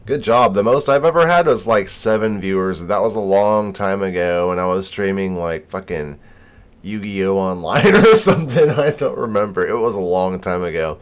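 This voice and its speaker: Male streamer, deep voice, laid-back, casual chat, occasional swearing. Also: lively intonation, moderate pace, smooth. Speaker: game streamer.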